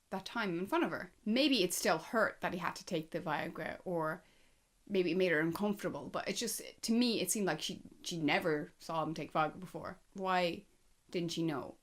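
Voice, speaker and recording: A woman giving a casual, chatty film review, speaking conversationally with emphatic asides and rhetorical questions. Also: mid-range voice. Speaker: movie review vlogger.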